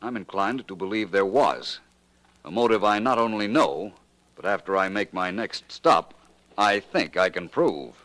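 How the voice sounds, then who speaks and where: An actor playing a suave, assured private detective with polite, precise speech in a 1940s radio drama. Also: male voice, on the low side, expressive, unhurried, fairly bright tone. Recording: noisy old radio recording.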